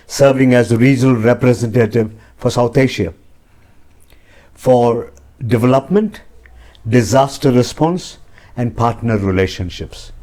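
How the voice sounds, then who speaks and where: An older man with an Indian English accent speaks earnestly and reflectively, his pitch climbing often as he makes a point. His voice sits in an ordinary middle register. He answers interview questions as a church representative. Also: measured pace, pauses often, mellow.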